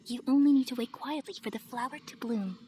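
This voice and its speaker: A woman playing a serene, wise and motherly goddess in an anime-style video game, speaking gently and solemnly. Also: on the high side, fairly quick, slight background noise, natural voice. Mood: gentle, reassuring. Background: music.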